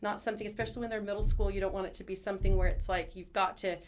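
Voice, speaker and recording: Fast-talking, enthusiastic woman. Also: mid-range voice, little pitch movement. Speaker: schoolteacher as podcast guest. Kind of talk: conversational interview answers. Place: studio.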